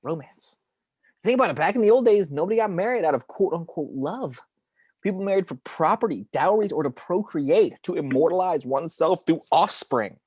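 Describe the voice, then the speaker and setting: Man as cocky angel of death, opinionated, slangy, animated intonation, film script read, dry recording. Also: on the high side, brisk, husky, no echo. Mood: argumentative. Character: cynical, cocky male angel of death, argumentative.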